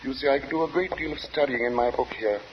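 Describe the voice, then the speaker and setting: Male radio-drama role: actor as strange, pious, weary gentleman, stiffly polite with sinister undertones; noisy vintage recording in long reverb. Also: medium pitch, fast talker, lo-fi sound, close to an everyday voice. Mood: weary, solemn. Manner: formal, hesitant, abruptly sharp, then apologetic. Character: eccentric, weary, devout gentleman lodger with a sinister edge. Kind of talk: 1940s radio acting.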